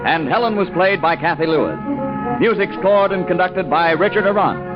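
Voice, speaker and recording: A man's voice: high-pitched, lively intonation, moderate pace, highs cut off, music in the background. A man reading scripted credits as a formal, projecting old-time radio announcer.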